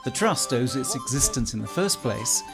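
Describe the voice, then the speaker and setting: A man giving measured, scripted documentary narration as a voice-over. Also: medium pitch, lively intonation, over music. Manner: measured and formal.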